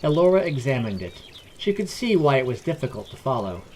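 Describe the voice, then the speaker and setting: A man's voice: medium pitch, big pitch swings, fairly quick, darkish tone, slight background noise. A man reading scripted fantasy narration as a storyteller.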